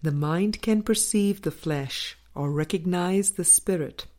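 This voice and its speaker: A woman narrates a spiritual audiobook in a low-pitched voice. Her reading is very unhurried and deliberate, broken into short, measured phrases with frequent pauses. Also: darkish tone. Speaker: audiobook reader.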